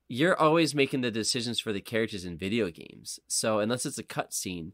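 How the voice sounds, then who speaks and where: A man co-hosting a podcast in casual, opinionated chat, emphatic, rambling and quick to swear. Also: fairly low pitch, animated, fairly bright tone, no echo, clean studio sound. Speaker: podcast co-host. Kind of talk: casual chat, opinionated film and game discussion.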